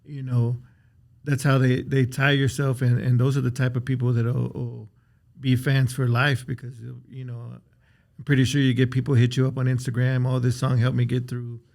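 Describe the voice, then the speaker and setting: Flat gravelly man interviewer. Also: mid-range voice, normal speed. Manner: rambling, lots of "you know" fillers. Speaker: interviewer host. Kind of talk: casual interview.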